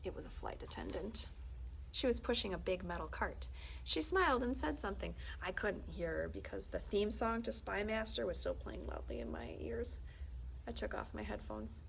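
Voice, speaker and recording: A woman reading a children's book aloud as a librarian, conversational and expressive, often dropping into hushed, confiding tones. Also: mid-range voice, moderate pace, creaky, a little hiss or hum, low-quality recording. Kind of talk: animated read-aloud of a children's book with brief asides.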